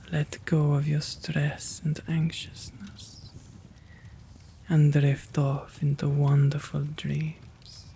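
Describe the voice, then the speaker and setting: A man voicing a kind, courteous gentleman vampire in a soothing ASMR roleplay, gentle and tender, often slipping into a whisper. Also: fairly high pitch, creaky, slight background noise, full character voice.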